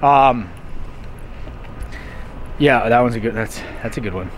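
A man's voice: medium pitch, unhurried, slight background noise. A young man from Texas, a music artist being interviewed, talking in a rambling, hesitant way full of fillers. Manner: rambling, hesitant, heavy use of "like". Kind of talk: casual interview talk.

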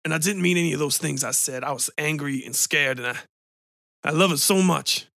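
A man voicing a bitter, weepy man with a smoker's rasp who pleads and breaks into tears. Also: a little high, fairly quick, sharp tone, dry recording, studio quality. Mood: tearful.

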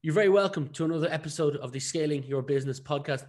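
A man's voice: on the high side, quick pace, loud music behind the voice. A man with an Irish accent hosting a podcast and putting questions to a guest in an informal, conversational way. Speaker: podcast host. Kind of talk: conversational interviewing.

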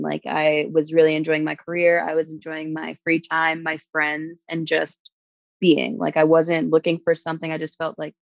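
A woman's voice: low-pitched, creaky, no echo, highs cut off. A woman from New York who works as a stage performer, casually telling her love story and animatedly acting out her own past thoughts.

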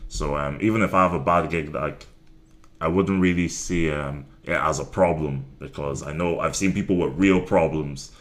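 A young man with an extremely low, deep voice talks loosely about his comedy career. He rambles a little, with frequent ums and self-corrections, in a relaxed conversational way. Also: normal speed. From Nigeria and Ireland. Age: 20s. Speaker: stand-up comedian guest. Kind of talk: casual interview chat.

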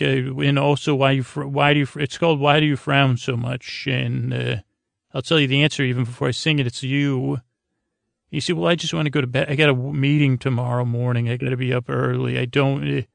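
A man with a creaky, gravelly voice talks in a gentle bedtime-podcast monologue. His delivery is flat and halting, full of stammers and half-finished thoughts that wander off. The sound is close and dry. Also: mid-range voice, no echo. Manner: meandering, halting, stammering, trailing off mid-thought. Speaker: podcast host. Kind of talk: rambling, soothing bedtime sleep-podcast monologue.

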